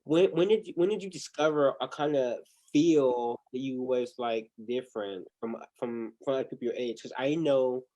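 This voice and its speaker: A man's voice: mid-range voice, normal speed, hardly any pauses, dark tone, rough recording. A young man hosting a livestreamed interview on a video call, chatty, often repeating words as he restarts. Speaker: interview host.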